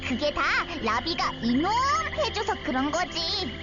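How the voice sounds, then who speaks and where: Squeaky, plucky little game girl. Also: animated, a little slow. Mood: bright, proud. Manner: childlike, refers to self in third person. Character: plucky innocent young girl, cheerful, eager fighter. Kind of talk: anime-style game voice acting. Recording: slightly dull audio under loud music. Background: loud background music.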